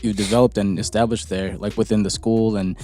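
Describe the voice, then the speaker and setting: A man with a lowish voice co-hosts a podcast, cutting in with quick jokes. His remarks are friendly and chatty. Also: steady tone, dark tone. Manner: quick-witted, chimes in with jokes. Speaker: podcast co-host. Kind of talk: casual podcast chat.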